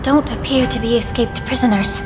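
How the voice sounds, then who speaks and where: An actress playing a poised young woman alchemist in a game, speaking in a deliberate, analytical way. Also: fairly high pitch, fairly even intonation, lightly acted. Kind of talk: voiced game dialogue. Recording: reverberant game mix with music. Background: orchestral game music.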